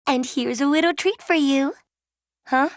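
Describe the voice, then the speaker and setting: A woman's voice: high-pitched, measured pace. A woman voicing an eager young fantasy heroine in scripted video game lines. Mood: teasing, sweet.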